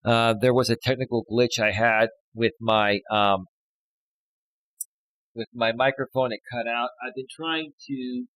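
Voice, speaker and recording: A loud-voiced man in his thirties talks to his live-stream viewers in a loose, rambling way, full of fillers and "right?" tags. His pitch sits in an ordinary middle range. He is close on a studio mic in a dry space with no room sound. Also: steady tone, unhurried, dry recording, rough recording. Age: 30s. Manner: chatty, filler-heavy, keeps adding "right?". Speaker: life coach hosting a live stream. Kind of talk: casual live-stream talk, reading chat posts. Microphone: studio dynamic microphone.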